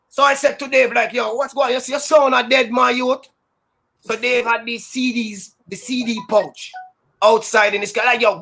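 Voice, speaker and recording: A Jamaican man who works as a sound system DJ, telling stories in a loud, high-energy, boastful way that is full of patois. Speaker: sound system DJ, interview guest. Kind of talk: casual storytelling interview.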